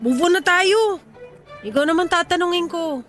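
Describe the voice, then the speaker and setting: A woman's voice: very high-pitched, moderate pace, fairly bright tone, soft background music. A woman playing a blunt, joking tomboyish young mechanic in a radio drama. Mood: bantering.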